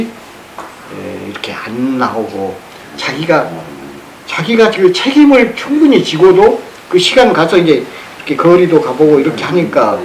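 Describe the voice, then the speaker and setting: A man giving an informal public lecture in an earnest, halting way, often repeating his phrases. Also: a little high. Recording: roomy, echoey with background noise.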